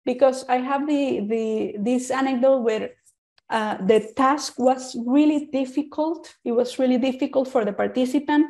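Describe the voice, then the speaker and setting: A woman's voice: high-pitched, normal speed, a little mellow. A woman from Venezuela with a Venezuelan Spanish accent, giving an informal presentation in an earnest way and often restarting and repeating words. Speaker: speaker on usability testing.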